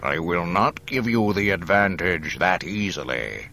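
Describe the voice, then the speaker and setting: A man voicing a menacing, commanding older dark lord in a dramatic audiobook, with slow, ominous delivery. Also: sits low, animated, full character voice.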